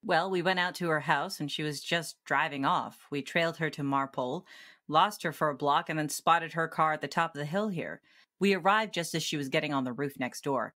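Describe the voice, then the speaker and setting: A skeptical policewoman from a detective radio play. Her voice sits low, and she talks in a terse, dry way. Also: brisk, a bit bright. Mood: dry, questioning. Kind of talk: radio detective drama acting.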